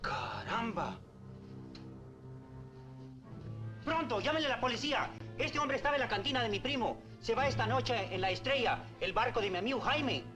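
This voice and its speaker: High-voiced, animated Mexican local. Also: male voice, fast talker, few pauses, music in the background. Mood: urgent. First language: Spanish. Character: animated Mexican waterfront local, wary but excitable. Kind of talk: 1950s film acting.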